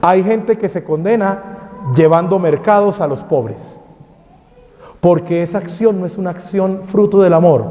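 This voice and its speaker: A man's voice: high-pitched, a little slow, dark tone. A man, a priest, preaching a sermon in a parish hall and firing off rhetorical questions to the crowd. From Colombia. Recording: dull sound with long hall reverb.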